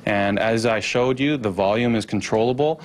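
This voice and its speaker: A man's voice: lowish voice, moderate pace. A man explaining things to the press as a police spokesman.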